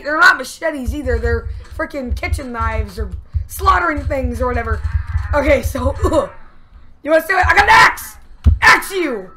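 High bright-voiced gamer girl, kinda hoarse, squeals at her game, faint music behind. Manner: yelps and shrieks at the action, laughs a lot. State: strained, losing her voice. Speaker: let's play gamer. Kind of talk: excited gameplay commentary.

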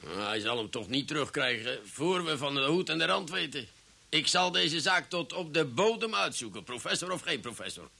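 An actor in a Dutch radio drama playing a stubborn, superstitious police superior who blusters and stammers. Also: male voice, on the high side, animated, normal speed, gravelly, pushed and bright, breathy edge, exaggerated voice. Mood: blustering, stubborn. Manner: blustery, stammering, repeats words.

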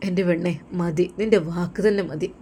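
A woman voicing a gentle, caring husband who speaks softly and tenderly to his wife. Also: fairly low pitch, steady tone, a little fast, slight background noise, lightly acted. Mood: soothing. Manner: soft, tender, reassuring.

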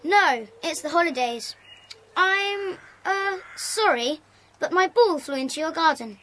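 A woman's voice: very high voice, animated, a little slow, fairly bright tone, a little hiss or hum, exaggerated voice. A woman playing a polite, hesitant schoolchild in a children's audio drama, earnest and apologetic. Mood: nervous, polite.

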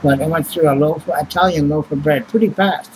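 A man's voice: fairly high pitch, steady tone, fairly quick, a little hiss or hum, rough recording. A man, rambling and swearing casually as he reads prices off a receipt into a webcam at home. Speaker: vlogger. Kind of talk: casual chat. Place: small home room indoors.

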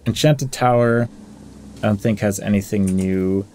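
A man's voice: fairly low pitch, unhurried, a little mellow, a little hiss or hum. A man giving casual gameplay commentary, thinking aloud and muttering through options as he reads game text. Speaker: gamer hosting a playthrough. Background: game music.

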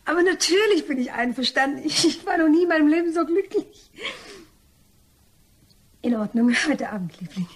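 A woman's voice: high voice, animated, normal speed. A woman playing a nervous, elegant young mademoiselle in a crime radio play, emotional and polite but condescending. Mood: relieved, elated.

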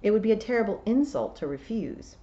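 A woman's voice: medium pitch, very animated, brisk, warm tone, dull audio, pitched above the performer's own voice, lightly acted. A woman doing a dry, proud talking toad. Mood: wry.

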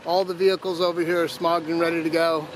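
A man's voice: on the high side, flat delivery, fast talker. An older man giving a plainspoken, easygoing walkaround of a vehicle for sale as an auctioneer, filmed outdoors and in the cab on a handheld phone. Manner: easygoing, plainspoken, with fillers and restarts. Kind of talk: casual walkaround product talk. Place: outdoors in a gravel lot and inside a pickup cab. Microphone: handheld phone camera. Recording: noisy outdoor phone recording.

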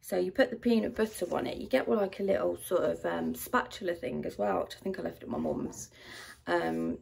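A young woman chatting casually through a grocery haul in a rambling way, her voice low and a little rough from a dry throat. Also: a little fast, darkish tone, a little hiss or hum. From United Kingdom. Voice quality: low, gravelly voice. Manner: chatty and rambling, with many ums and likes. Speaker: vlogger. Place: at home.

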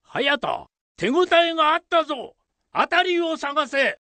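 Radio drama samurai lord: imperious, archaic, slow and creaky. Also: male voice, very high voice, animated, bright, forceful tone, no echo, full character voice. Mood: excited, urgent. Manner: archaic lordly speech, emphatic. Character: commanding, proud old samurai lord slaying a monster.

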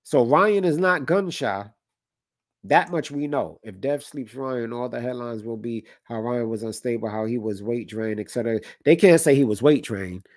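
A man's voice: mid-range voice, moderate pace, a little mellow, no room sound. Stuffy guy hosting a boxing stream, reads out the chat, dry close mic. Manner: conversational, opinionated, reads chat comments aloud. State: stuffy from a cold. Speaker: boxing livestream host. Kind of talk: casual talk with live chat. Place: home room with colored lights.

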